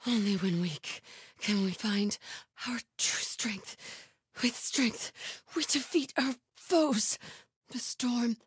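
A woman's voice: a little high, breathy edge, no room sound, studio quality, full character voice. A woman playing a fierce, devout cult fighter in a video game, delivering her lines with fanatical conviction. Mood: hushed, prayerful. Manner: urgent shouted battle calls, hushed prayerful murmurs.

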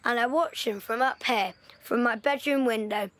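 A sharp, watchful little boy acted by a woman in a wartime audio play. He, and tells things in a knowing, secretive way. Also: fairly high pitch, normal speed, no room sound, natural voice. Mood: eager, knowing. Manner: knowing, storytelling. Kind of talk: audio drama dialogue.

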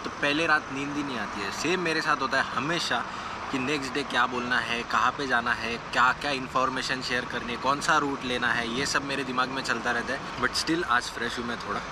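A man's voice: mid-range voice, steady tone, brisk. A young man casually narrating a road-trip vlog from inside his car and at roadside stops, explaining routes and tips to his viewers. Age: young adult. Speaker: travel vlogger. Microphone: small camera held or mounted close. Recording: road and wind noise behind.

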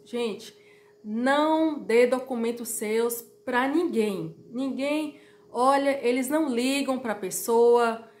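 A slightly hoarse woman in her thirties talks straight to her viewers with a fairly high voice. She is chatty and direct and repeats her warnings. She is recorded close to a phone in a small, echoey room at home. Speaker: YouTuber. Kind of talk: informal explainer vlog.

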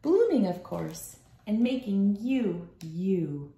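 A woman's voice: mid-range voice, sing-song, slow pace, mellow. Woman reading aloud in an echoey room. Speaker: children's book read-aloud host. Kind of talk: reading a picture book aloud. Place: small room at home, indoors.

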